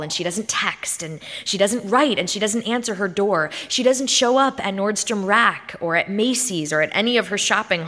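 A woman giving a dramatic, expressive reading of literary fiction, like a performance on stage. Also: medium pitch. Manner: theatrical, expressive delivery. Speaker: short story reader on stage. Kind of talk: dramatic scripted literary narration. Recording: reverberant hall.

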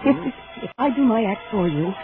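A woman's voice: on the high side, lively intonation, a little fast, music in the background, close to an everyday voice. Woman acting wary showgirl, tinny old radio. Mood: frightened, bargaining. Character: wary, indignant young female stage dancer. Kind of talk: 1940s radio thriller acting. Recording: noisy, narrow-band 1940s radio recording.

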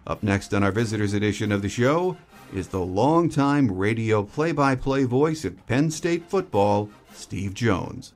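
A man's voice: fairly low pitch, lively intonation, measured pace. An American man hosting a podcast, delivering scripted sports commentary. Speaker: podcast host.

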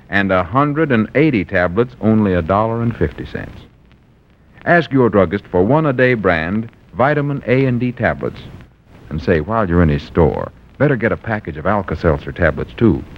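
A man announcing in a scripted commercial style as a radio announcer, with a smooth, persuasive sales pitch. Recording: dull old radio broadcast.

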